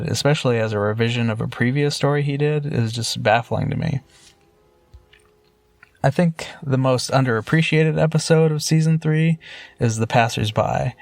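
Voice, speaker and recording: A man's voice: mid-range voice, no room sound. A man with an American accent giving prepared commentary on television episodes as a listener chiming in. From Los Angeles, USA.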